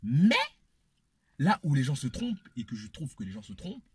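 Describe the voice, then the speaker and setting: Husky-voiced man arguing his point. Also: medium pitch, big pitch swings, normal speed, a little mellow, dry recording, studio quality. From Congolese descent. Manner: emphatic, repeats phrases for effect. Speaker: panel speaker. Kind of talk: opinionated casual debate.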